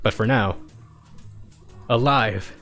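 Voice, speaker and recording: Man, mid-pitched, expressive story narration, slightly halting, streamer reading fan fiction, music behind. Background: background music.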